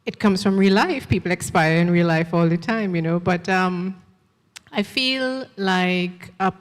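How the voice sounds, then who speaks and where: Woman, author, reflective, somewhat low voice, long reverb. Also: measured pace. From Trinidad. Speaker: author on a panel. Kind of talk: festival panel conversation. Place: festival event hall.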